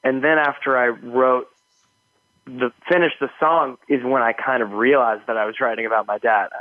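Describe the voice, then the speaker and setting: A man's voice: mid-range voice, fairly even intonation, moderate pace, no room sound. A male musician, a guest in an interview, talking about his song in a reflective, hesitant way with fillers. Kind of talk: casual interview talk. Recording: tinny phone line, dry.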